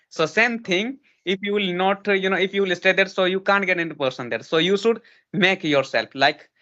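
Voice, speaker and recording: A man's voice: high-pitched, bright, forceful tone, lo-fi sound. A man, lecturing earnestly in a casual practice conversation, with many restarts and fillers. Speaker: host giving advice.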